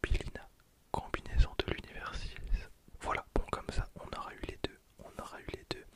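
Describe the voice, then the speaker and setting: Young man in a full ASMR whisper. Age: 20s. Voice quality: breathy, voiceless whisper. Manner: hushed, often repeating phrases slowly. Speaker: ASMR video creator and host. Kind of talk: ASMR whispering, card unboxing commentary. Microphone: handheld stereo recorder used close up.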